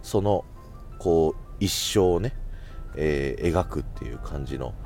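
A man with a very low, deep voice and a bright, forward tone hosts a casual solo chat. He talks conversationally, working out his thoughts aloud. Soft music plays faintly behind him. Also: expressive, takes it slowly, gravelly. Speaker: podcast host who works in radio sales.

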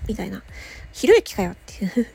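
A woman hosting a casual solo audio show, chatting playfully with self-mocking asides and a husky, slightly creaky voice. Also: medium pitch, very animated, fairly quick, darkish tone.

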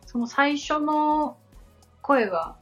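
A woman's voice: high voice, expressive, takes it slowly. A woman co-hosting a podcast, talking politely and conversationally in simple words. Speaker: podcast co-host and assistant. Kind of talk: conversational podcast talk, answering questions. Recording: slightly dull audio with background music. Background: background music.

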